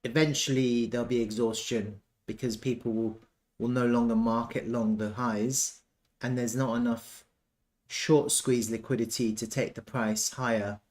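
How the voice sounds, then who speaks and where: A man giving casual, off-the-cuff chart commentary as a trading analyst, thinking aloud haltingly and repeating himself. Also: medium pitch, fairly even intonation.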